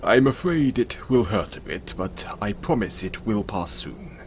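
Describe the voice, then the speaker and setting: A man playing a gentle, caring, self-conscious centaur in an intimate audio roleplay, speaking in a soothing, hesitant, apologetic way. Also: fairly low pitch, big pitch swings, a little slow, slight background noise. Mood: kind, reassuring.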